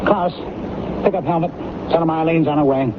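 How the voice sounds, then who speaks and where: A man's voice: a little high, steady tone, a little fast, lightly acted. An actor playing a determined, edgy male producer who speaks urgently and emphatically in an old-time radio drama. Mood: tense, commanding. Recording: tinny, noisy old radio recording.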